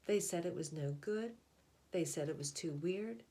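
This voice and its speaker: Female storyteller, lower register, deliberate expressive picture-book reading with measured pauses. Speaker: read-aloud storyteller. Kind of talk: picture book read aloud.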